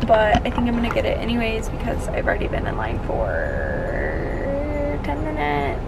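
A young woman chats to her camera in an upbeat, rambling way, full of fillers. Her voice sits a little high, recorded close at arm's length with music playing underneath. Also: huge pitch swings, normal speed. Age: young adult. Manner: chatty, upbeat, many fillers. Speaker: vlogger. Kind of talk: casual chat to camera. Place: car, then a bedroom. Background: background music.

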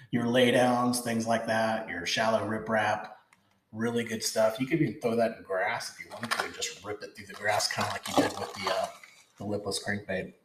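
A man's voice: lowish voice, reverberant, noisy background. A man in his forties hosting a fishing channel, chatting eagerly as he unboxes lures and often repeats himself. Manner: enthusiastic, chatty, repeats words. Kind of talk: casual product unboxing. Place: indoor room with logo backdrop.

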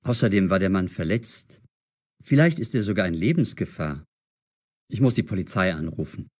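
A careful, curious young man in an audio drama. His voice sits fairly low. He speaks in measured, clearly pronounced phrases. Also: lively intonation, a little mellow. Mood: uneasy. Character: cautious, curious young man caught in adventure. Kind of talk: German-learner audio drama.

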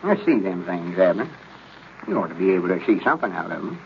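An actor playing a know-it-all, easily exasperated old storekeeper with a rural Southern accent in a 1930s radio comedy. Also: male voice, deep voice, big pitch swings, quick pace, vocal fry, exaggerated voice. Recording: dull, noisy old radio recording.

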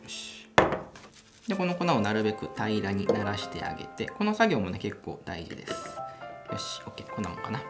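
Fast, animated young man explaining coffee. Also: fairly low pitch. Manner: enthusiastic, chatty, laughs while explaining. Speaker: coffee channel host. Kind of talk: casual how-to demonstration. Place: small indoor home café room. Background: background music.

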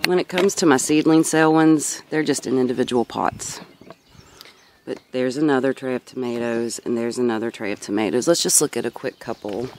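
A woman's voice: rumbling low, fairly quick, darkish tone, slight background noise. A woman in her thirties giving a casual, rambling vlog-style tour as a gardener, recorded outdoors on a handheld camera. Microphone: handheld camera or phone.

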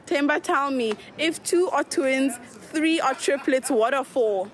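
A woman interviewing people on the street, upbeat and quick with her questions and thanks. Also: sits high. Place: outdoors on a street. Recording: street recording with faint noise.

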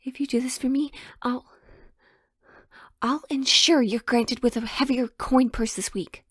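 A woman's voice: high-pitched, steady tone, a little slow, husky, no echo, clean studio sound. A woman playing a sheltered, pleading young royal in a romantic audio drama, with hesitant, formal old-fashioned phrasing. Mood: pleading, anxious.

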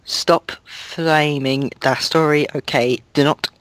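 Slow deadpan young man reading aloud. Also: a little high, dry recording. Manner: deadpan, sarcastic asides. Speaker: reader. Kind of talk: mocking dramatic reading.

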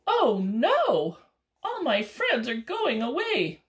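A small raccoon from a children's storybook, voiced high and bright with big swoops of pitch, as a woman puts it on. It talks slowly and eagerly, and every line is stretched out for young listeners. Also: huge pitch swings, exaggerated voice. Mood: sad. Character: sad, earnest young raccoon.

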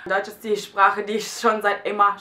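Twenties German-native woman vlogger, chatty and quick, bright tone, roomy bedroom sound. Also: mid-range voice, completely flat delivery. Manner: chatty, quick, with fillers and restarts. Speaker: language vlogger. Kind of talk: casual explainer vlog to camera. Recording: echoey room.

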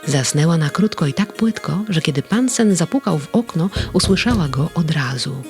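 A narrator reads a children's bedtime story with tender, gentle expression. The voice carries a slight gravelly texture, and faint background music runs beneath the narration. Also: medium pitch, lively intonation, moderate pace.

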